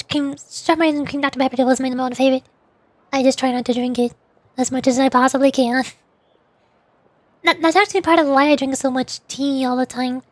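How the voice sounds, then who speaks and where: Chatty high-voiced woman streamer. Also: no room sound. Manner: chatty, rambling, giggly, answers chat. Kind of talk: casual live-stream chat.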